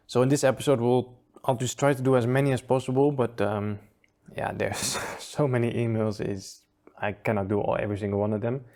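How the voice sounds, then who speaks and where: A man's voice: medium pitch, darkish tone. A man hosting a casual review show, easygoing and chuckling often as he trails off and restarts sentences. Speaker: aquascaping channel host.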